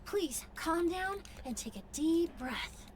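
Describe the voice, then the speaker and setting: A woman voicing a composed, polite investigator in an anime-style game, with measured, formal phrasing. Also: extremely high pitch, expressive, slight background noise, exaggerated voice. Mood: reassuring.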